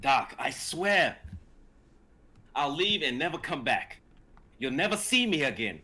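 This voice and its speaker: A young male lab assistant gone crooked, acted by a man, husky and forceful. His slow, emphatic delivery swings widely in pitch, desperate and hot-tempered, in a dramatic script reading. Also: very animated, heavily acted. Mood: pleading, panicked. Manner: urgent, emphatic.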